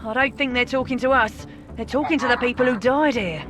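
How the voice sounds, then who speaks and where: An actress playing a tough, knowing scavenger in a video game, speaking in a matter-of-fact, wry way. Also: female voice, a little high, brisk, close to an everyday voice. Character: tough, knowing female post-apocalyptic scavenger. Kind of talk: video game character acting. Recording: music under the voice.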